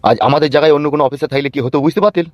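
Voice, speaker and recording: A man's voice: on the high side, steady tone, rapid-fire, no room sound, lightly acted. A man voicing a stern police officer who scolds and lectures in a cartoon dub. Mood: scolding. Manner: scolding, bossy.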